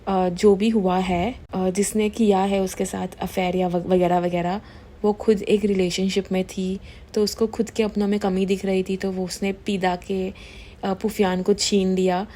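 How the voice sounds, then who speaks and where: A young woman talks through influencer gossip in a relaxed, chatty way. She mixes Hindi and English as she goes, and her voice sounds mellow and warm. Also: medium pitch, unhurried. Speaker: gossip commentary host. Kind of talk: casual Hindi-English commentary.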